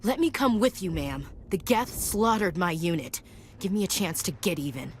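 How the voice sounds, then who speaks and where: An actress playing a battle-worn but determined marine in a sci-fi video game, earnest and dutiful. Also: female voice, lowish voice, animated, moderate pace, a little hiss or hum, lightly acted. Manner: earnest, dutiful military delivery. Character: battle-worn, determined young female marine gunnery sergeant. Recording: faint game music behind.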